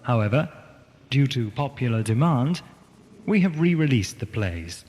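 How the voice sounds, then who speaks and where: A man reading a scripted announcement in a formal, measured manner. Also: medium pitch, sing-song, a little mellow. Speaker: announcer for an audio drama producer. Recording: long reverb, faint tape noise.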